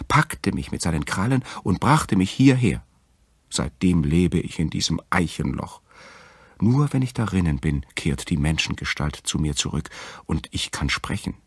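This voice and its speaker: Enchanted fairy-tale princess, pleading and earnest, read by a man, very low, husky register. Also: very animated, normal speed, no echo, heavily acted. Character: enchanted young tsar's daughter, earnest and pleading.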